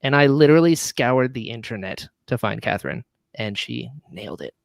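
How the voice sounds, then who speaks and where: Enthusiastic man, clear close voice. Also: mid-range voice, expressive, moderate pace, no room sound. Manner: enthusiastic, chatty, punctuated with chuckles. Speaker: guest, audio drama writer and actor. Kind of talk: casual interview chat.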